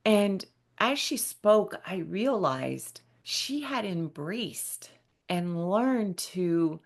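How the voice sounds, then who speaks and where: A woman's voice: on the low side, lively intonation, drawn-out words. A woman in her fifties speaking earnestly and emphatically to listeners as the host of a solo podcast. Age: 50s. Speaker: podcast host and bereavement specialist.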